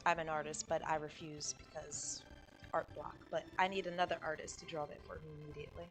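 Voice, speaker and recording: A woman streamer comments casually on a game. Her voice sits a little low, and she teases and gushes as she goes. Faint game music plays behind her. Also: little pitch movement, fairly quick. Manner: teasing, gushing.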